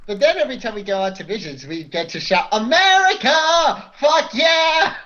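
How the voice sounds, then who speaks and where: A woman's voice: a little high, sing-song, normal speed, bright, forceful tone, lo-fi sound. A woman playing a tabletop game, chatting casually and joking.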